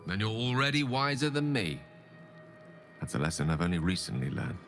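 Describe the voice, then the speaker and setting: A man's voice: fairly low pitch, big pitch swings, fairly quick, fairly bright tone. A man playing an eloquent, ambitious and embittered wizard in a video game, speaking with earnest, theatrical and formal articulation. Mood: subdued, reflective. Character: ambitious, eloquent, embittered male wizard pleading his case. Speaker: video game companion character. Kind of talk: dramatic game dialogue acting. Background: background music.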